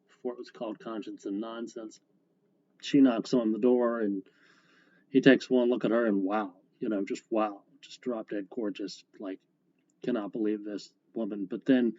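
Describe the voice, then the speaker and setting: Slow, low-key male podcaster. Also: dark tone. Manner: rambling, self-correcting. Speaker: comic strip creator, podcast host. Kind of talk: casual solo podcast monologue. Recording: dull lo-fi sound, faint guitar.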